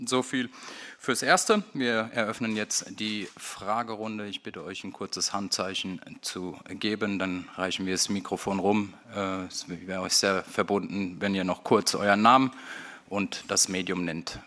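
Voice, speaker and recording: A man moderating a press conference, introducing the new coach and opening the floor to questions politely and briskly. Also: lowish voice, steady tone, fairly bright tone.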